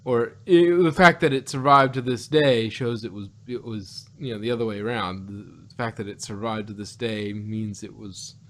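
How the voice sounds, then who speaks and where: A man co-hosting a casual review podcast, rambling along haltingly and often repeating words as he goes. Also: on the low side, fairly bright tone. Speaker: podcast co-host reviewing audio dramas.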